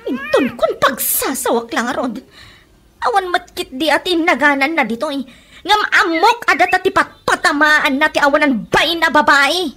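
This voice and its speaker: A woman's voice: sits high, lively intonation, moderate pace, pushed and bright. A woman with an Ilocano accent playing an indignant, scolding woman in a comedy radio drama.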